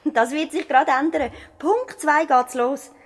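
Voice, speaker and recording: A country practice nurse in a vintage radio drama. Her voice sits fairly high, with a Swiss German accent, and she talks in short, matter-of-fact statements. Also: a little slow. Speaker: actor in a radio play. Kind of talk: 1970s radio drama dialogue.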